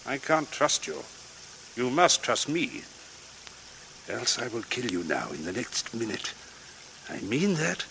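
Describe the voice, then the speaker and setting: A man playing a cold, murderous father in an old radio drama, giving measured, commanding threats. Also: mid-range voice, very animated, gravelly, pushed and bright, heavily acted. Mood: quiet menace. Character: cold, resourceful murderous father coolly blackmailing at gunpoint. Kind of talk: 1940s radio acting. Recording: noisy vintage radio drama recording.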